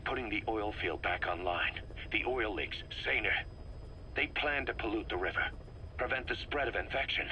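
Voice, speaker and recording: An actor playing a gruff, skeptical, impatient military commander in a video game, speaking bluntly. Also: male voice, fairly low pitch, normal speed, background noise, lightly acted. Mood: impatient, weary. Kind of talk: dramatic video game dialogue.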